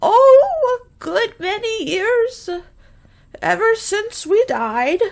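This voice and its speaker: A woman playing a kindly, folksy elderly grandmother in a radio drama, with a creaky voice. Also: extremely high pitch, sing-song, drawn-out words, exaggerated voice. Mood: calm, reassuring.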